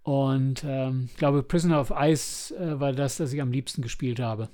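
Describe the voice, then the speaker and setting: A man's voice: medium pitch, fairly even intonation, normal speed, warm tone. A man co-hosting a podcast in casual review chat, chatty and enthusiastic when recommending things. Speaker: podcast co-host.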